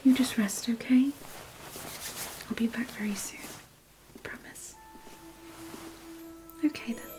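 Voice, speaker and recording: Woman playing a softly whispering, kindly fairy. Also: fairly high pitch, steady tone, slow, pauses often, over music. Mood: caring, soothing. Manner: soft whispering, hesitant, nurturing. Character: kindly young female fairy craftswoman, chatty and caring. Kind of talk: whispered fantasy ASMR roleplay.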